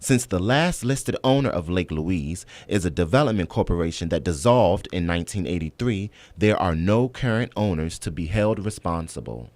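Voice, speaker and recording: A man's voice: low-pitched, expressive, normal speed, hardly any pauses, darkish tone. An American man narrating scripted television news reports as a reporter.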